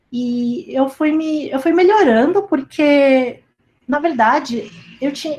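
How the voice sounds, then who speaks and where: A woman's voice: high-pitched, a little mellow. A young woman giving a casual talk over a remote call, chatty and full of fillers as she tells a personal story. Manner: chatty, lots of fillers like "sabe" and "tipo". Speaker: speaker at a company talk. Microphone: remote call recording.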